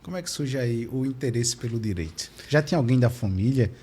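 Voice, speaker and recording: A man's voice: medium pitch, animated, fairly quick, warm tone, husky. A man hosting an interview podcast in a courteous, formal but conversational way. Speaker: podcast host interviewing guests.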